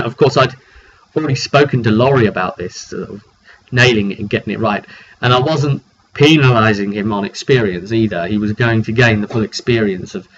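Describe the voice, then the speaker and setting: A man giving an informal, dramatic recap of a tabletop roleplaying session as game master, telling it like an animated story. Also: mid-range voice. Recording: dull lo-fi mic with background noise.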